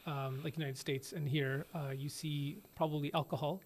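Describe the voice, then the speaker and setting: A man in his thirties from Toronto, an ICU physician, giving measured explanations with frequent pauses and fillers. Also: fairly high pitch, steady tone. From Toronto, Canada. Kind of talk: interview answers.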